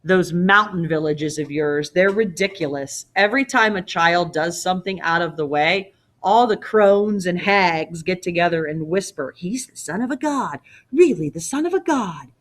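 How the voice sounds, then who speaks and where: A woman's voice: on the low side, expressive, normal speed, fairly bright tone. A woman voicing a proud old king in a storybook read-aloud, scornful and commanding, often mocking with pointed questions. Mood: scornful, suspicious. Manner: imperious, mocking, probing with questions.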